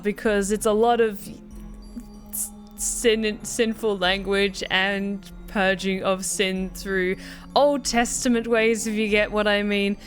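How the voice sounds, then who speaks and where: A woman live-streaming a game, giving casual running commentary. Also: medium pitch.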